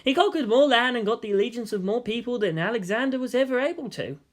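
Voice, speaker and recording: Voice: medium pitch, lively intonation, quick pace, pitched above the performer's own voice, natural voice. A narrator voicing an exasperated, sarcastic teenage boy hero in a fantasy story. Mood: reflective.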